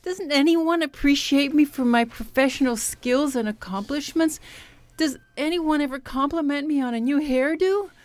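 Woman playing a high-voiced, exasperated ship's counselor. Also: moderate pace, no echo, natural voice. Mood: indignant. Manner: peevish, sarcastic. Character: exasperated, touchy female empath ship's counselor. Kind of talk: comedic radio theatre parody acting.

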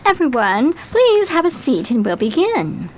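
A woman plays an affected, chic hostess in a Jazz Age radio play. Her voice is high and swoops with effusive charm, over noticeable background noise. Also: huge pitch swings, a little slow, exaggerated voice. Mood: gushing. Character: chic, gushing 1920s society hostess, sly and sociable. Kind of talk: 1920s-set radio play acting.

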